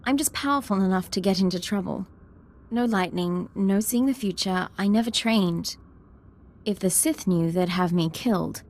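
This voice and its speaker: Earnest young woman ensign, acted, mid-pitched. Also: moderate pace, darkish tone, lightly acted. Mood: confessional, guarded. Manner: direct, military address. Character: earnest, dutiful young female military ensign.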